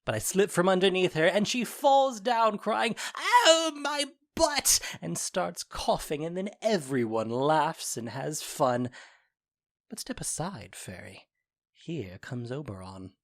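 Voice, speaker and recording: A man's voice: on the high side, sing-song, slow pace, full character voice. A man playing a mischievous, playful trickster fairy in an audio drama, with gleeful, theatrical storytelling. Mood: hushed warning. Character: mischievous playful male sprite, a boastful young trickster fairy. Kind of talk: modern-English audio drama acting.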